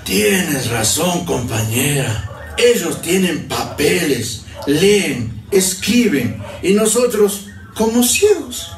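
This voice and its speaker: Loyal man, radio drama comrade, measured, echoey distant playback. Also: a little high, sing-song, full character voice. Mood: agreeing, reflective. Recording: echoey playback from far off, music behind.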